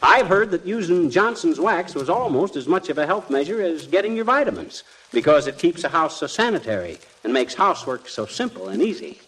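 Eager bungling husband, highish and very animated, folksy slang, vintage radio comedy. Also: male voice, a little fast, hardly any pauses, slightly rough, full character voice. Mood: teasing. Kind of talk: 1940s radio comedy acting. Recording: slightly noisy vintage radio recording.

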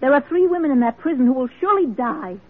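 A woman's voice: sits high, lively intonation, fast. An actress playing an earnest, unyielding woman reformer in a classic radio drama, speaking formally and insistently. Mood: defiant, urgent. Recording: muffled old radio drama recording.

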